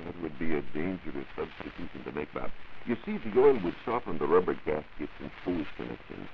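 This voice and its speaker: A man's voice: rumbling low, lively intonation, fairly quick, vocal fry. A man giving formal, precise scripted explanations on a 1940s educational science radio program. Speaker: science reporter and radio panelist. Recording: dull, hissy 1940s radio recording.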